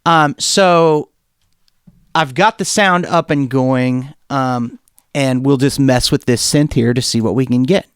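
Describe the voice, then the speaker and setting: A man's voice: medium pitch, takes it slowly, clean tone, no echo. Casual man presenting a tutorial, close dry sound. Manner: informal, enthusiastic, thinking aloud. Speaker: music production tutorial presenter. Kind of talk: casual software demo, talking off the cuff.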